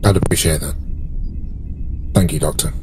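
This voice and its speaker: Man playing a low-voiced, weary starship officer. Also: music in the background. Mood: resigned, polite. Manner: understated, dry, halting. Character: weary, wry long-lived alien male officer, now a patient. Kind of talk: improvised tabletop roleplay. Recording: clear background noise.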